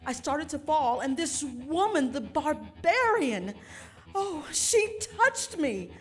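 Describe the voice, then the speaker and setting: An actress playing an agitated, imperious and fastidious mother in a futuristic radio drama, with a haughty, theatrical delivery. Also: sits high, very animated, exaggerated voice. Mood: agitated, indignant. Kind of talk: theatrical radio drama acting before a live audience.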